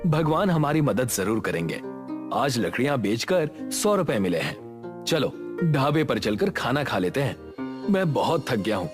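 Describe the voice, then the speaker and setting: A man's voice: medium pitch, lightly acted. A man playing a poor, kind, hopeful village woodcutter in a storybook tale.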